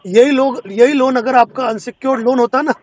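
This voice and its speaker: A man's voice: very high voice, brisk, a little mellow. A man speaking as a lawyer on a phone call, explaining a loan matter persuasively and insistently. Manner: persuasive, insistent, repeatedly asking to be heard. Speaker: lawyer advising on a loan default. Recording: dull lo-fi phone call recording.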